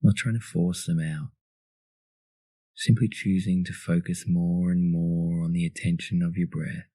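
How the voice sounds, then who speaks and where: Male narrator for guided meditation. Deep, soft dark timbre, calm and soothing delivery. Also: a little slow, dry recording.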